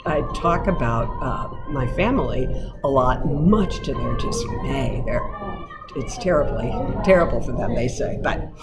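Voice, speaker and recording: A woman cabaret singer with a low voice, chatting casually in a radio interview, self-deprecating and quick to laugh. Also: animated, low-quality recording. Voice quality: lower voice. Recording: music playing loudly underneath.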